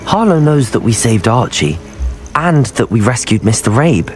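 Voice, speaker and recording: Sincere, lively young wizard played by an actor. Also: male voice, mid-range voice, normal speed, close to an everyday voice. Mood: resolved. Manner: polite, sincere, reassuring. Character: supportive, loyal teenage boy wizard hero. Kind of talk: video game dialogue acting. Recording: music under the voice.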